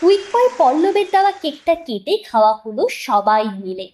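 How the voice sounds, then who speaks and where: A woman's voice: sits high, sing-song, moderate pace, a little mellow. A woman narrating a scripted audio story in a dramatic storytelling style.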